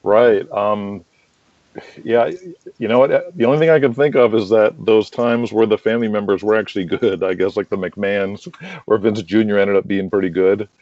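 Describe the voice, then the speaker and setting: A man's voice: fairly low pitch, fairly even intonation, moderate pace, no echo, dull audio. A middle-aged American man telling rambling anecdotes casually as an interview guest. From United States. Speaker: interview guest, wrestling promoter and manager.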